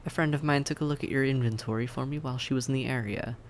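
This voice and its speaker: A man playing a suave, polite vampire in an audio roleplay, courteous and measured, with a softly teasing menace. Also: mid-range voice, steady tone, slight background noise, natural voice. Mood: polite, cheerful. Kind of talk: scripted audio roleplay acting, addressed to the listener.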